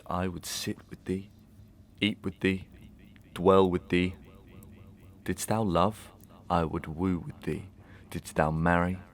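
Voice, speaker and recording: An actor playing a guilt-racked, tormenting gentleman murderer in a Victorian audio melodrama, with theatrical, deliberate, archaic delivery. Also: deep voice. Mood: sinister, taunting. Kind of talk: Victorian stage melodrama acting in an audio drama.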